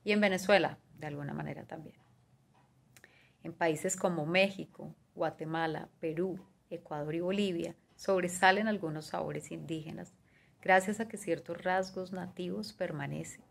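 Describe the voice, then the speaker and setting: A woman in her thirties giving a measured, partly read talk over a video call from a small room, listing things one by one and filling pauses with "eh". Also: on the low side, creaky. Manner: measured, listing items, with fillers like "eh" and "digamos". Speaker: guest speaker on pastry and cuisine. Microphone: video call webcam.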